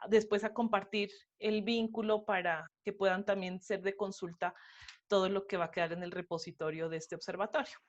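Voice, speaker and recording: A woman moderating an informal research interview as the interviewer. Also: medium pitch.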